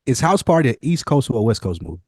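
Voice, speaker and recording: A man's voice: medium pitch, big pitch swings, fast, a little mellow, no echo, studio quality. An American man co-hosting a podcast in casual chat, talking informally with plenty of slang. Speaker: podcast co-host.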